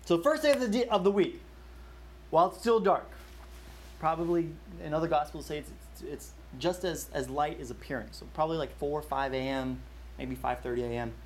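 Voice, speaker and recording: A man's voice: fairly high pitch, a little fast, slight background noise. A young man leading a Bible study, telling a personal story in a casual, chatty way with many fillers and restarts.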